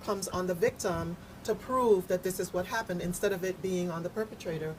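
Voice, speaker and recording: A woman's voice: on the low side, a little fast, rough recording. A woman explaining her points in an articulate, firm way during a panel discussion. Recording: faint background noise, room ambience.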